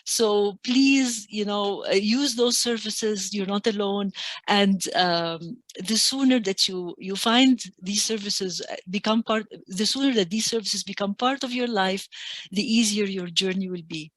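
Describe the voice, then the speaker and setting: A woman's voice: mid-range voice, dry recording. Earnest woman, dry sound. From Egypt. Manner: earnest, emphatic, slows to stress key points. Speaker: community organization staff member. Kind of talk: earnest guest advice in an interview. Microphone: video call.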